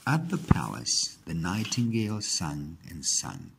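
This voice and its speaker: A man narrating a scripted fairy tale in a husky, gravelly voice, with measured, expressive storytelling. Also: low voice, heavy background noise.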